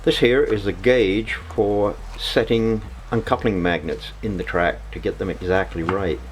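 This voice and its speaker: A man giving a casual, unscripted hobby vlog narration in a halting way, often repeating words. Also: fairly low pitch, slight background noise, rough recording.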